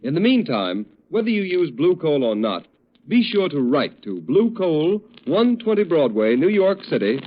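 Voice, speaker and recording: Animated high-pitched 1930s radio announcer man. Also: moderate pace. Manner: formal, emphatic. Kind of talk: 1930s radio announcing, scripted sponsor copy. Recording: muffled vintage radio recording.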